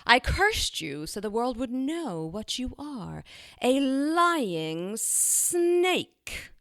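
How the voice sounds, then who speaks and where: A woman's voice: a little high, big pitch swings, drawn-out words, a bit bright, no room sound, studio quality, heavily acted. An actress playing a cruel, mocking, centuries-old witch in an audio drama, taunting and theatrical as she draws out her words. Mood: gloating, vicious.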